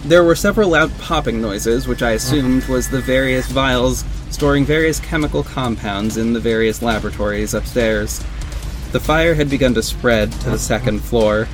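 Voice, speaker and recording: A man's voice: medium pitch, lightly acted, low-quality recording. Male actor as earnest superhero lead and first-person narrator for audio drama; mid-range voice, light music bed. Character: earnest male masked superhero recounting his adventures. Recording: music under the voice.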